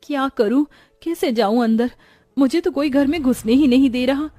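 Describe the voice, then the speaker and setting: Cartoon maidservant, young woman, played by a female narrator: high, earnest, pleading, loyal and worried, dry sound over music. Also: moderate pace, dark tone, dry recording. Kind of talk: Hindi moral-story cartoon acting.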